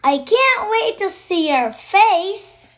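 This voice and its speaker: A woman reading a children's storybook aloud in a deliberate, expressive way. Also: very high-pitched. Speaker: children's book narrator. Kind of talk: read-aloud storytelling for kids.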